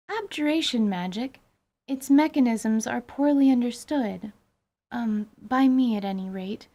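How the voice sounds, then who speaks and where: Scholarly female mage, acted by a woman: raised pitch, earnest teacher, formal and exact wording, fantasy audio drama. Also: dark tone. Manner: precise, explanatory, formal wording. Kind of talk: dramatized tabletop role-playing audio drama.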